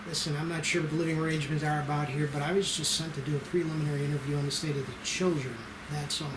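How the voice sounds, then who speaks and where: A man's voice: a little high, flat delivery, rapid-fire, natural voice. A man playing a self-assured, wry special agent from child services in an amateur screenplay table read. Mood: businesslike. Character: cocky, unflappable male federal child services special agent. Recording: roomy echo with clear noise.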